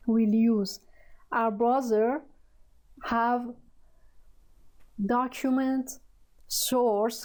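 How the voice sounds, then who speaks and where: A woman with a Persian accent, a native Persian speaker, teaching a coding lesson in halting, hesitant speech full of fillers. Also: a little high, a little mellow, low-quality recording. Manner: halting, searching for words, many fillers. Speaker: programming tutor. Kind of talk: screen-recorded coding tutorial.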